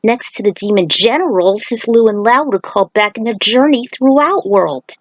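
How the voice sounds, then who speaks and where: A woman's voice: on the high side, normal speed, no echo. A woman reading an action story aloud as a narrator, with casual asides. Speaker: fan fiction author reading aloud.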